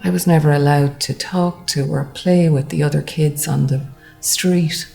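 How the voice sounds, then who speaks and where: An actress playing a wounded older woman recalling a harsh childhood in a halting, sombre testimony. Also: low voice, steady tone, gravelly, warm tone, faint music behind. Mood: sombre, hurt. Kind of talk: dramatised first-person testimony monologue.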